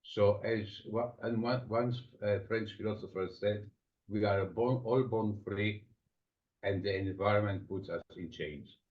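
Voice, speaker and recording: A man with an Estonian accent, a psychotherapist answering interview questions thoughtfully, with many pauses. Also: fairly low pitch. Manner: reflective, explains with everyday examples, frequent pauses. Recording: dull lo-fi sound.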